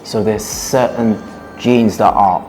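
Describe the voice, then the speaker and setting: Low-voiced man explaining his music. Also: steady tone, darkish tone, slight background noise. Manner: measured, explanatory, slows down for emphasis. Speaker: musician, one half of a duo. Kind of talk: relaxed interview talk.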